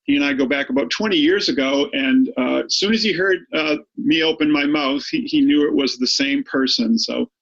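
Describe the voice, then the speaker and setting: A man's voice: a little high, normal speed, gravelly, hardly any pauses, fairly bright tone, lo-fi sound. A middle-aged man with a Boston accent tinged by Maine, giving an online statistics review lesson in a chatty way, often repeating his words. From Boston area, United States. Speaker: high school statistics teacher.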